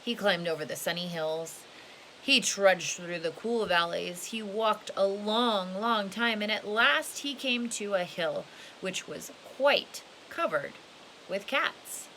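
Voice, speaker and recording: A woman's voice: medium pitch, slow, bright, forceful tone, rough recording. A woman reading a children's picture book aloud expressively, stretching key words and adding casual asides. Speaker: storybook reader. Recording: hissy home recording with background noise.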